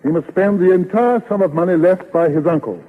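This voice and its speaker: An actor playing an earnest, fussy lawyer in 1930s radio comedy, speaking formally and carefully. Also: male voice, high voice, fairly quick. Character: earnest, fussy male lawyer breaking news gently. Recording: muffled old 1930s radio recording.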